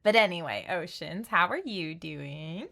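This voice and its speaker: A woman's voice: on the low side, lively intonation, unhurried, gravelly, sharp tone. A woman streamer giving casual, playful live commentary on a game, with a giggly, teasing manner.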